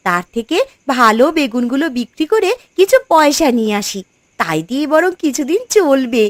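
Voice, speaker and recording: A woman's voice: sits high, sing-song, moderate pace, dry recording. A woman voicing a stubborn young village girl in a cartoon, arguing insistently with her family. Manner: insistent, argumentative, determined.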